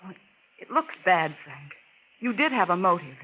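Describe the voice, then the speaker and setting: An actress playing a scheming, coaxing wife in a melodramatic 1940s radio style. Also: female voice, fairly low pitch, big pitch swings, normal speed. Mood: urgent, pleading. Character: scheming, coaxing adulterous wife, breathlessly devoted to her lover. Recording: tinny, hissy 1940s radio recording.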